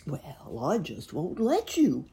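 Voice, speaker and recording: A woman's voice: low voice, huge pitch swings, creaky, dark tone, slightly rough, full character voice. A woman voicing a kind, brave male horse in a children's story, earnest and emphatic. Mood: defiant. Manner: neighing, earnest, emphatic, drawn-out.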